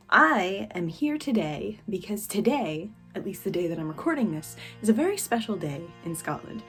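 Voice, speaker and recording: A young American woman hosting her channel, chatting casually in a nervous, self-deprecating way. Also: medium pitch. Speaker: channel host. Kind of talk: casual chat.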